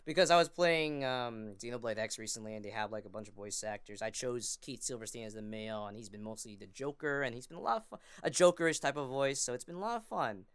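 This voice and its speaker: A man's voice: medium pitch, fast talker, few pauses, smooth, no echo. A man chatting casually as a podcast guest, enthusiastic and rambling, often repeating himself. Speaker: podcast guest, amateur voice actor and fandubber.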